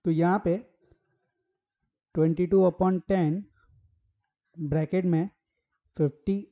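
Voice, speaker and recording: A hoarse man teaching maths at a whiteboard. He works through each step and keeps asking rhetorical questions as he goes. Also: sits high, fairly even intonation, takes it slowly, lo-fi sound. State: hoarse from a sore throat.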